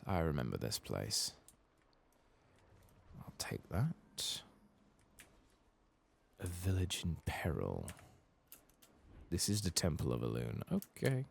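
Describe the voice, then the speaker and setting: Very deep, soft-spoken man, creaky. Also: very animated, slow pace, pauses often. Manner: casual muttering, swearing mildly. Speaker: ASMR gaming channel host. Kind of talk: soft-spoken ASMR game playthrough commentary.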